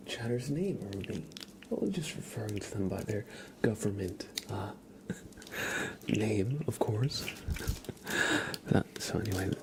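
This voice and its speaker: A man's voice: lowish voice, vocal fry, noisy background. A man hosting a live ASMR stream, chatting to viewers with playful, murmured role-play patter. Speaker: livestream host.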